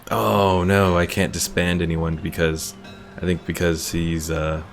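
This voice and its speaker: Low, creaky-voiced male game streamer. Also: little pitch movement, a little slow, faint music behind. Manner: laid-back, thinking aloud. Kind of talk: casual gameplay commentary, reading viewer comments.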